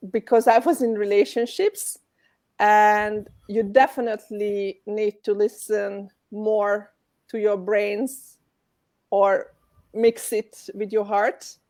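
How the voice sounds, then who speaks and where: A woman's voice: mid-range voice, darkish tone. A woman from Hungary with a Hungarian accent, answering interview questions over a video call in a webinar. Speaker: guest speaker.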